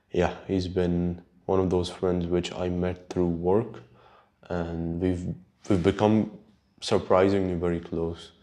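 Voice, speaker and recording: Slow, low-voiced man with Urdu accent. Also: warm tone. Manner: unhurried, halting, sweary. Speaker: podcast host. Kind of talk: casual rambling solo podcast chat.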